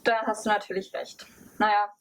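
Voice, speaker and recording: Mid-pitched woman in science-film dialogue. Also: little pitch movement, moderate pace, low-quality recording. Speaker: scientist presenter in an educational film. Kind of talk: semi-scripted explanatory dialogue. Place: laboratory. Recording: faint background noise with clicks.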